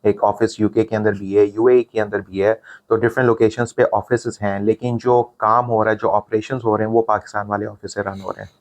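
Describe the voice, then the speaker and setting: A man with a Pakistani accent, a call center business owner, answering interview questions in a relaxed explaining way and mixing in English phrases. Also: fairly low pitch, fairly quick, few pauses.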